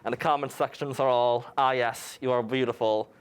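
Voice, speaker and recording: A young man from New York sits in as a guest in a campus TV studio. His voice is pitched in the middle range. He talks deadpan and delivers grand claims with mock solemnity. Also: normal speed, clear, resonant tone. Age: young adult. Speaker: student guest. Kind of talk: tongue-in-cheek interview answers.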